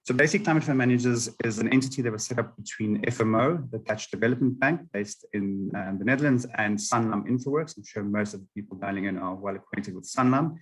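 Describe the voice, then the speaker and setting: A South African man presenting slides to a webinar audience, steady and explanatory with frequent ums. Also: fairly low pitch, quick pace, hardly any pauses, slightly rough, low-quality recording. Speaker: webinar panelist.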